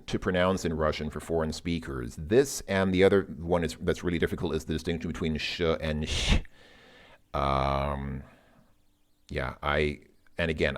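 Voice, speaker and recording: A man who speaks English natively, talking through his thoughts in a loose, musing monologue and often repeating words while he thinks. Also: rumbling low. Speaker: language learner vlogger. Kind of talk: casual spoken monologue.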